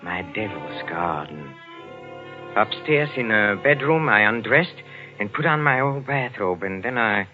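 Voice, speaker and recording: An actor playing a fussy henpecked husband turned gleeful murderer, theatrical and excitable in a vintage radio suspense style. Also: male voice, on the low side, unhurried. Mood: cold, confiding. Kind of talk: old-time radio suspense acting with narration. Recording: muffled vintage radio recording with music.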